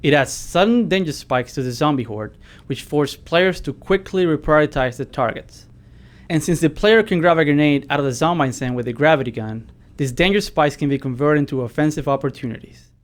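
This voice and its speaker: A man reads prepared developer commentary. His voice sits a little higher than most men's, and he narrates as someone explaining game design. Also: a little fast.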